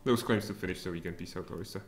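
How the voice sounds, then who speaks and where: A man in his thirties with a Czech accent, streaming a strategy game and thinking aloud, with sudden excited outbursts. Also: low voice, racing. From Czech Republic. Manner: mutters thoughts aloud, then bursts out excitedly. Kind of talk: live strategy game commentary. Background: faint music and keyboard typing.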